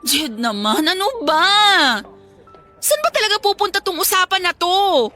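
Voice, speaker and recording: A woman's voice: very high voice, big pitch swings, moderate pace, sharp tone, faint music behind, exaggerated voice. A woman playing an emotional, pleading young college girlfriend in a radio drama. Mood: confused, pleading. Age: young adult.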